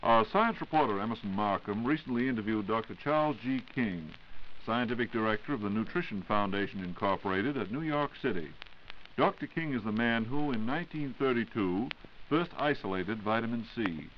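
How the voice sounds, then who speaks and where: A man's voice: lowish voice, lively intonation, brisk, muffled recording, a little hiss or hum. An American man hosting a 1940s radio program with formal, scripted introductions, recorded on old radio equipment. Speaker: radio announcer and host.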